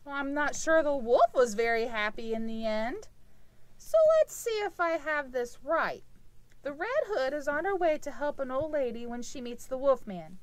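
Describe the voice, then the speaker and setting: Woman voicing an excitable high-pitched nosy puppet cat. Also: normal speed, low-quality recording. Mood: puzzled, cheeky. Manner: inquisitive, interrupting, cheeky. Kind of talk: children's puppet show dialogue.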